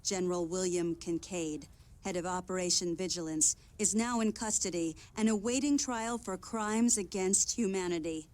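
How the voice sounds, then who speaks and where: A woman playing a composed television news anchor, reading a scripted bulletin in a formal, measured manner. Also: on the low side, darkish tone, lightly acted. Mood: neutral.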